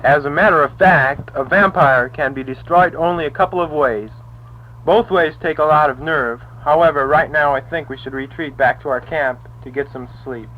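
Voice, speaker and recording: A man's voice: a little high, moderate pace. Man reciting a story on noisy tape. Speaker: narrator and reader of parts. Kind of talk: scripted amateur audio drama reading. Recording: muffled tape with heavy noise and clicks.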